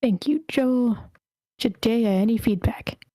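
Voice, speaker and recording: A woman's voice: on the high side, slow, mellow, dry recording, clean studio sound. A woman hosting an online feedback session, casually moderating and reading messages aloud. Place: online video meeting.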